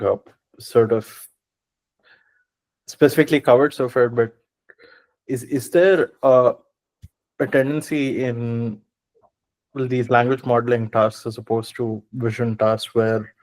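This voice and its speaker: A man moderates an online talk, putting questions to the speaker. He picks his words slowly, with many "uh"s. His voice sits at an ordinary pitch. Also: darkish tone, low-quality recording. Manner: hesitant, many "uh"s. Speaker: seminar moderator. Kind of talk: asking questions.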